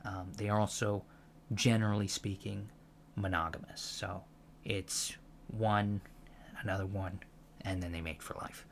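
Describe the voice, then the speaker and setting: A man plays a gentle alien college professor in an ASMR roleplay, explaining things earnestly and softly. The voice is low and gravelly with fry. The delivery is halting and thoughtful, over some background noise. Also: little pitch movement. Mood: earnest, calm. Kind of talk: soft-spoken ASMR lecture roleplay.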